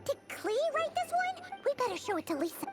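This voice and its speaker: A woman voicing a tiny, excitable fairy companion in a video game, with a squeaky, childlike, chatty delivery. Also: brisk. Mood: surprised, curious. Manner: perky, exclamatory, chatty. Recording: music playing loudly behind.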